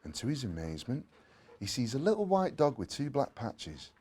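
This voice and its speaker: A man reading a children's story aloud in an expressive way, with playful asides. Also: medium pitch, moderate pace, husky. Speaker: story reader.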